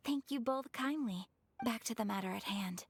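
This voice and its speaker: A woman playing an earnest young noblewoman in an anime game, speaking formally and courteously in measured, eloquent sentences. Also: on the high side, a little hiss or hum. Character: refined, earnest young noblewoman of a great clan. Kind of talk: anime video game dialogue.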